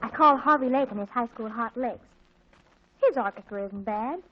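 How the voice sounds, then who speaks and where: Actress as an eager teen girl, high and bubbly, earnest; dull old radio sound. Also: moderate pace, natural voice. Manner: earnest, excitable, wheedling. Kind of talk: 1940s radio acting.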